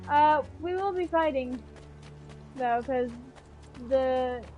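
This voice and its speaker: A chatty woman streams a video game from her home kitchen, talking into a headset mic in a high voice. She chats casually and echoes the characters' lines aloud. Game music plays clearly behind her. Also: slow pace, mellow. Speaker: gaming streamer.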